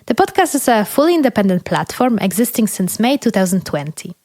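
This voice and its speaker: A woman hosting a podcast, reading a measured scripted introduction and later asking interview questions. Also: medium pitch, a little mellow, no echo, clean studio sound. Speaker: podcast host.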